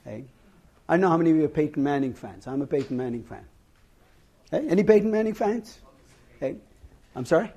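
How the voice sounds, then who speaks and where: A middle-aged man lecturing a class on finance, husky from losing his voice, wry and conversational, putting questions to his students. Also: a little high, lively intonation, a little fast, long pauses, dark tone. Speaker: finance professor. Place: lecture hall.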